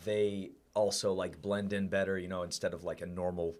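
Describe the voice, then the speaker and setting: A man's voice: deep voice, level tone, moderate pace, a little mellow. A man co-hosting a podcast, explaining things casually and hedging as he goes. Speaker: podcast co-host. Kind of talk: casual chat.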